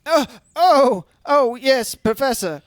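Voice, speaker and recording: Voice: high-pitched, animated, slow, no echo. An actor playing a nervous, secretive older male scientist in a radio drama, hesitant and repeating words. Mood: anxious. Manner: stammering, repeating words, timid.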